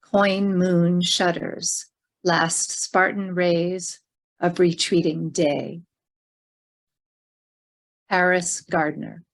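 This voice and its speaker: Lowish-voiced woman slowly reciting poetry. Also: level tone. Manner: careful, measured phrasing. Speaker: poetry reader.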